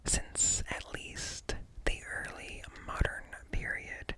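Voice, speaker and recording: Slow whispering ASMR man. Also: rough recording. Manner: deliberate, close, hushed delivery. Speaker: ASMR host reading articles. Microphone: close up, ear-to-ear stereo.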